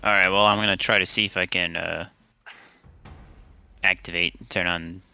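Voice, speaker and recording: A man chats casually as a player in a tabletop game. His voice is low and gravelly with a very sharp, bright edge, and his manner is wry and resigned. Music plays faintly underneath. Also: level tone, moderate pace, dull audio.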